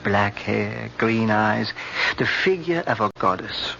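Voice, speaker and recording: An actor playing a solicitous, secretly scheming European doctor, speaking in deliberate phrases in a radio drama. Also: male voice, fairly low pitch, animated, creaky, sharp tone, soft background music, exaggerated voice. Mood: earnest, probing. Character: gentle older European doctor friend, male, solicitous yet secretly scheming. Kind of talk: old-time radio drama acting. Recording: noisy, muffled old radio recording.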